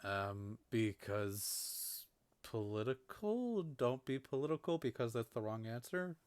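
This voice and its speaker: A man's voice: medium pitch, big pitch swings, slow, a little mellow, smooth. A man hosting casual game commentary, blunt and sweary, laughing often. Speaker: host.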